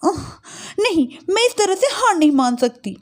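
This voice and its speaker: Young urban daughter-in-law for a story cartoon, woman performer: earnest, courteous, theatrically startled, piercingly high pitch with lively swings. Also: moderate pace. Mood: frustrated, determined. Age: young adult. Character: earnest young city-bred daughter-in-law, eager and exasperated. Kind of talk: moral-story cartoon voice acting.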